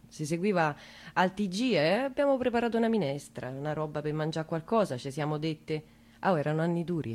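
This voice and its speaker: An actress playing a working-class woman in a theatrical radio monologue, haunted by memory, halting and repeating herself as she searches for words. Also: medium pitch, natural voice. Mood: subdued, weary. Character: working-class Italian woman haunted by remembering a national tragedy. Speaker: stage actress performing a monologue.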